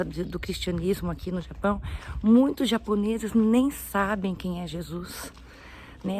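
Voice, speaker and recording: A missionary woman speaking earnestly in a halting, casual way, with many restarts, while she walks outdoors. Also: medium pitch, a little mellow, slight background noise. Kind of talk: casual religious vlog talk. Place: outdoors, walking past houses and ruins.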